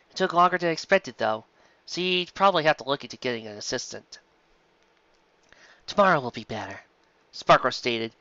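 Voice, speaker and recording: A narrator reading a scripted story aloud in an expressive, dramatic way. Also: mid-range voice, dry recording, lo-fi sound. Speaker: fan fiction narrator. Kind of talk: scripted story narration with acted character voices.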